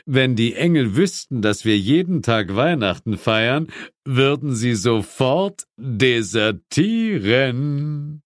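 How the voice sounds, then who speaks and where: A man's voice: medium pitch, sing-song, slow, no echo, studio quality, pitched above the performer's own voice. A man voicing a stern, sly male demon lord of hell in a theatrical, emphatic audiobook reading. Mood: smug.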